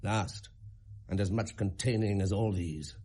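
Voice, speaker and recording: A man playing a grave, troubled middle-aged king with a husky voice, declaiming verse in a Shakespeare radio play. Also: fairly low pitch, a little slow, warm tone. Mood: heavy, sorrowful.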